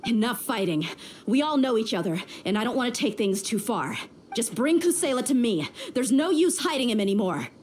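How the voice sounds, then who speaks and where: A woman's voice: on the high side, big pitch swings, fairly quick, a little mellow, slight background noise. A woman acting a tough, impatient young desert mercenary in a video game, with a blunt, commanding manner. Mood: exasperated, forceful.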